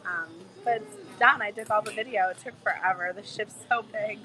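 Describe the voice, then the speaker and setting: A woman travel vlogger with a cold, her voice a bit hoarse, chatting in a bubbly, gushing way to her live viewers from a bar. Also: medium pitch, normal speed, pushed and bright, rough recording. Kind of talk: casual live-stream chat with viewers. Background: music playing in the bar.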